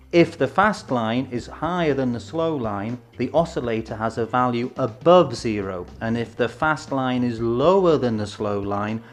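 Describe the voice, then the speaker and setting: A man presenting an educational film in a scripted, measured, explanatory way with emphatic phrasing. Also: medium pitch. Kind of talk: scripted instructional narration. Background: background music.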